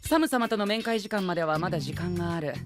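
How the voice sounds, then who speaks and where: A male actor playing a pompous, rule-obsessed young gentleman knight in an anime audio drama, speaking formally and peppering his lines with English exclamations. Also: high voice, quick pace, natural voice. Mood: insistent, pompous. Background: background music.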